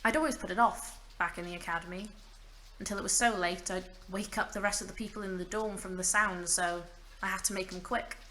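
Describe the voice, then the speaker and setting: A woman's voice: medium pitch, steady tone, a little fast, sharp tone, slight background noise, lightly acted, poor audio. A woman playing a stranded, centuries-lonely mage in an audio drama, wry and bitter yet fragile, rambling in self-mocking asides. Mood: wistful. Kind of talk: audio drama monologue, naturalistic acting.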